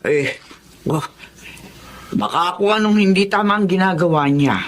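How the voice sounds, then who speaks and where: A woman's voice: fairly low pitch, normal speed, natural voice. An actress playing a suspicious, motherly middle-aged neighbor who speaks warily and admonishingly in a Filipino audio drama. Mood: cautioning. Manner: wary, admonishing. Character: suspicious, motherly older female neighbor giving cautions. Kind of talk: Filipino radio drama acting. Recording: audible background noise.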